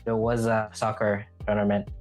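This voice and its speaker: A man's voice: lowish voice, completely flat delivery, normal speed, faint music behind. A man from Haiti hosting a podcast, talking casually and reflectively about personal experience. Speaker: podcast host.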